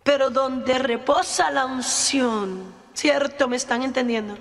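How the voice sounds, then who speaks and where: Female preacher, high-voiced, emphatic and self-deprecating, delivering a sermon in a reverberant hall. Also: measured pace.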